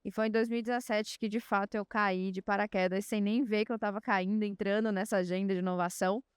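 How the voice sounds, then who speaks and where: A woman with a Bahian accent speaks on a panel. Her voice is very crisp and resonant, and it sounds dry and close to the mic. She tells her story confidently, in a mid-pitched voice. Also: steady tone, quick pace, dry recording. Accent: Bahian Portuguese. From Salvador, Bahia, Brazil. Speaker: panelist, innovation executive. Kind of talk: panel talk.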